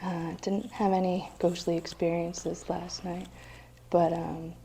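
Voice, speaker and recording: A woman's voice: on the low side, little pitch movement, slow, creaky, mellow, a little hiss or hum. A woman talking casually as the host of a travel vlog.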